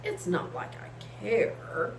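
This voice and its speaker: A woman's voice: slow, lightly acted. A woman voicing a self-important, touchy female toy stingray in a storybook, grumbling and singsong by turns. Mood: sulky, hushed. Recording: echoey room with faint hiss.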